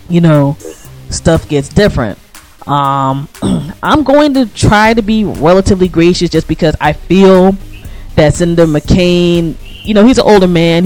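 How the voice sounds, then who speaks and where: American guy on a radio show, kinda high voice, slangy and emphatic, music playing behind him. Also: darkish tone. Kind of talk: casual political commentary.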